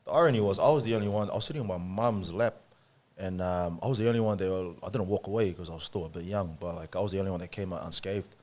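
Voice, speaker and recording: A man's voice: low voice, fast, darkish tone. A man in his late twenties with a New Zealand accent, telling stories in a casual podcast chat, laughing often and dropping "eh" and "man". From Samoa, raised in New Zealand. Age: late 20s. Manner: laid-back, laughs often, uses "eh" and "man". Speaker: podcast guest.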